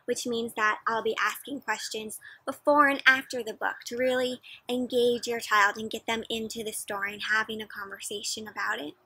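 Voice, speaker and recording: A young woman reads aloud to children in an expressive, engaging style. Her voice is bright and fairly high with a husky edge. She leans on key words as she goes. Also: dry recording, low-quality recording. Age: young adult. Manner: expressive, questioning, emphatic. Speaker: children's storybook reader. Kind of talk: interactive read-aloud for children. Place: outdoors in a playground.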